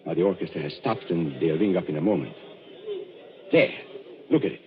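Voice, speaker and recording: An actor playing a suave stage magician who speaks in an urbane, theatrical, mocking manner in an old radio drama. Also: male voice, deep voice, animated, a little fast. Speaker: magician and escape artist. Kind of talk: 1940s radio drama acting. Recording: tinny, lo-fi old radio broadcast.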